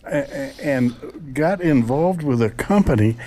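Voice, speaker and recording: A man's voice: medium pitch, expressive, a little slow, dark tone. A man hosting a radio talk show, doing enthusiastic host patter in front of a live audience. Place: studio set in a mall before an audience.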